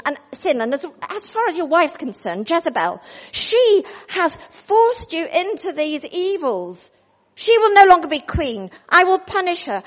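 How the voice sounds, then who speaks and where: A woman's voice: high voice, big-room sound, highs cut off, voice pushed up. A woman voicing a stern male prophet who declares judgment on a king. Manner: grave, declaring.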